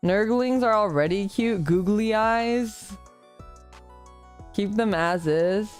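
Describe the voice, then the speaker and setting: A woman's voice: medium pitch, takes it slowly, soft background music. A woman streaming live, chatting casually and reading out her chat, slightly congested from a recent illness and rambling in a self-deprecating way.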